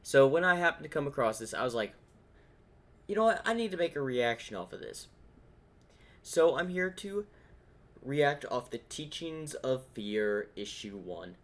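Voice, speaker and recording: A man's voice: mid-range voice, sing-song, measured pace. A man giving casual reaction commentary as a video host, thinking aloud as he speculates.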